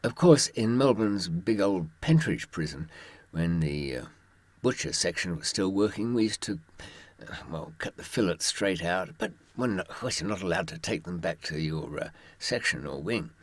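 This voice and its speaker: A man's voice: low-pitched, expressive, moderate pace, no room sound. An older man, telling anecdotes and giving advice from experience in a wry, droll raconteur's manner. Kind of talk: anecdotal storytelling to listeners.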